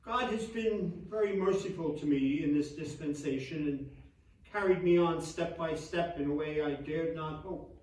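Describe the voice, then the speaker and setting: A man's voice: fairly high pitch, moderate pace, a little mellow, close to an everyday voice. Actor as grieving Victorian clergyman, very echoey. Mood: grieving, grateful. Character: grieving, devout Victorian clergyman, gentle and humble. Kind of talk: theatrical dramatic reading of letters. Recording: distant echoey hall stage recording.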